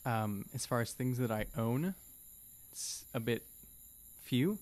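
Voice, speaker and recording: A man's voice: mid-range voice, lively intonation, takes it slowly, a little mellow. A young man chatting casually and improvising as a player in a tabletop game, close on a podcast mic. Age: young adult. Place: small studio room, indoors. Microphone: close podcast microphone on a stand.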